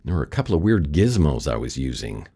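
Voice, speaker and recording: An American actor playing a wry, world-weary private detective in a noir radio drama, talking and narrating with dry, sardonic delivery. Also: male voice, a bass voice, very animated, fast talker, dark tone, slightly rough, full character voice. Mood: wry, unsettled.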